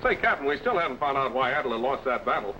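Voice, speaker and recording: A man playing an inquisitive lab assistant in an old radio drama, firing off quick questions. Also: mid-range voice, very animated, pushed and bright, poor audio. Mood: curious. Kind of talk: 1950s radio drama acting. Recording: hissy old radio broadcast recording.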